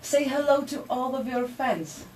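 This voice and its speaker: A woman's voice: high voice, expressive, moderate pace, darkish tone. A woman interviewing a guest in English, hesitating with many fillers. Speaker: radio interviewer. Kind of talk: radio interview. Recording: distant mic with faint background noise.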